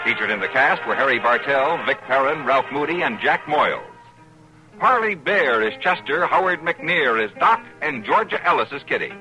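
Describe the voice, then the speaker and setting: Man, American, polished radio credits, lively intonation, loud music bed, old recording. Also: mid-range voice, moderate pace, rough recording. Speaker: announcer.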